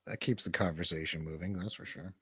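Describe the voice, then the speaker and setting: A man's voice: sits low, fast talker, a bit bright, slightly rough, no room sound, clean studio sound. A man hosting a casual livestream chat, laid-back, slangy and rambling. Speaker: host of a livestream show.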